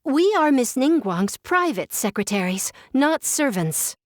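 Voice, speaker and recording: A weary, high-voiced young secretary. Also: female voice, sing-song, measured pace, no room sound, studio quality. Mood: formal, worried. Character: young female government secretary, formal, weary, overworked. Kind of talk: video game character dialogue.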